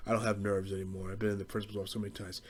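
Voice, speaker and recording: A man's voice: lowish voice, level tone, rapid-fire, a little hiss or hum. A young American man rambling through a casual, profane comedic rant as a podcast host, in slangy speech. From southeastern Pennsylvania, US.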